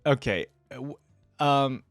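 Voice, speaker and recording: A man's voice: mid-range voice, takes it slowly, dry recording, soft background music. A man giving casual, off-the-cuff reaction commentary as a video host, thinking aloud in an informal, wryly bemused way.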